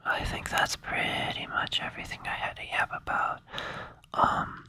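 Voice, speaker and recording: A person rambling in a breathy whisper close to the microphone for ASMR, sounding sleepy and hesitant, with a playful, soothing way of talking. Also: bright, forceful tone, poor audio. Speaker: ASMR creator.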